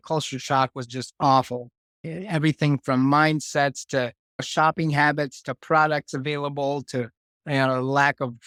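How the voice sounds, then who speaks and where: A man in his fifties, born in Germany, talking casually as an interview guest with a relaxed, reflective manner. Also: medium pitch, dry recording, studio quality. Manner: relaxed, reflective, with restarts and fillers.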